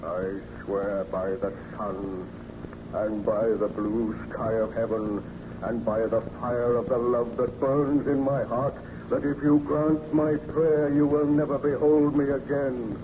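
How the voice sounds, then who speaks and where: An actor playing a hideous outcast monster, pleading and menacing in a solemn, declamatory old radio horror style. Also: male voice, medium pitch, little pitch movement, natural voice. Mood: solemn oath. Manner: solemn, declamatory, drawn-out phrasing. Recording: noisy, muffled old radio recording.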